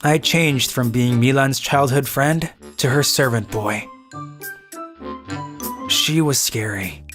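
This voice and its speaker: A woman's voice: extremely low pitch, slow pace, over music. A woman voicing a timid, introverted teenage schoolboy in a manga dub, hesitant in manner. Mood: glum, resigned. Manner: hesitant, stammering when addressed. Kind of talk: manga dub narration and acting.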